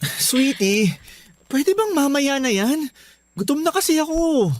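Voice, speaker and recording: A weary young husband. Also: male voice, extremely high pitch, sing-song, a little slow, no echo, exaggerated voice. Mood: flustered, pleading. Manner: weary, pleading, flustered when pressed. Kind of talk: radio drama acting. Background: background music.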